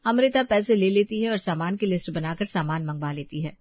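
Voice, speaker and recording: A woman's voice: mid-range voice, lively intonation, a little fast, no echo, highs cut off. A woman reading scripted narration for a moral story as the storyteller.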